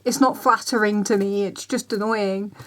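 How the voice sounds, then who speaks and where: A woman's voice: mid-range voice, fairly even intonation, normal speed. A woman, talking casually straight to camera, rambling and repeating herself. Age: 20s. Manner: chatty, repeats herself, voices other people's reactions. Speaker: vlogger. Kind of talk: casual ranting vlog.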